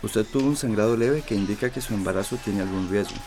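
An actor playing a calm, reassuring doctor in a radio drama, explaining things in a formal, measured way. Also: male voice, lowish voice, close to an everyday voice. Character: calm, reassuring male doctor advising a young pregnant patient.

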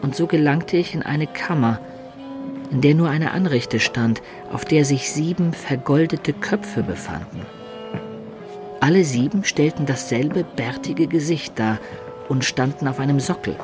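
An actor playing an adventurer who recounts his tale in measured, descriptive narration for a German radio drama. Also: on the high side, lively intonation. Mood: suspenseful. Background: music.

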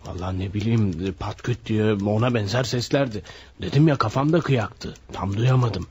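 Man doing a nosy talkative neighbor, low voice, faint hiss, old radio play. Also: normal speed, darkish tone, muffled recording, lightly acted. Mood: earnest. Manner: chatty, eager to tell. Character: nosy middle-aged male neighbor, talkative and earnest. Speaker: radio drama actor. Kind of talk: vintage radio drama acting.

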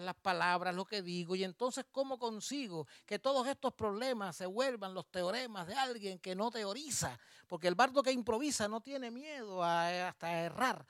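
A man's voice: high-pitched, fairly bright tone, no room sound, clean studio sound. A man with a Cuban accent presenting a concert, moving from a spoken introduction into playful, rhythmic improvised rhyming verse with self-mocking asides. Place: small music bar venue.

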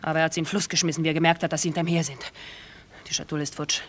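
A woman's voice: deep voice, level tone, racing. An actress playing a calculating, flirtatious camp woman in a German radio play, with a coquettish manner. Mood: hurried, pressing.

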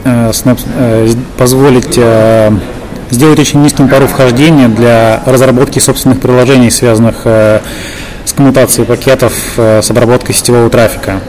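A man interviewing for a podcast, asking unscripted questions in a hesitant way, breaking his phrases with pauses. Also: steady tone. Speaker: podcast interviewer, tech lead. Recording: noisy venue, loud background rumble.

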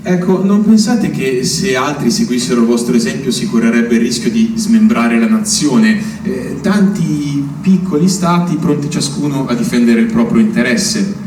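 An actor as a probing interviewer, roomy and distant. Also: male voice, medium pitch, animated, normal speed, few pauses, darkish tone, lightly acted. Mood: challenging. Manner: probing, hesitant eh before points. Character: probing male news interviewer, polite but insistent. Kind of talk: naturalistic stage drama acting, news interview. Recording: roomy, distant, clearly noisy stage recording.

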